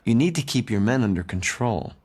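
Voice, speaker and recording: An actor playing a condescending, businesslike corporate executive in a video game, polished and sardonic. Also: male voice, mid-range voice, expressive, a little fast, a little mellow, husky. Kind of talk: video game cutscene acting.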